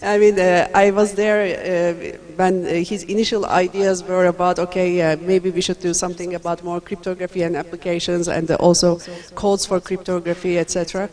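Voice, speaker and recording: A woman from Turkey giving a university lecture, talking in a friendly way with many fillers and pauses. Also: on the low side, little pitch movement, darkish tone.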